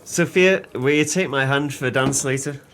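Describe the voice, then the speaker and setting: An actor playing a jovial, tipsy, hard-up former sailor in an audio play, talking in hearty, flowery, boastful phrases. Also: male voice, mid-range voice, moderate pace, a bit bright, slight background noise, close to an everyday voice. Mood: merry, grandiose.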